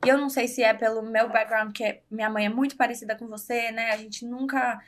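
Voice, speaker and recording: A woman's voice: a little high, fairly even intonation, quick pace. A woman from Brazil, a guest in a casual interview, talking candidly and confidently with plenty of fillers. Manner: candid, self-assured, chatty with fillers. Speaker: guest, entrepreneur.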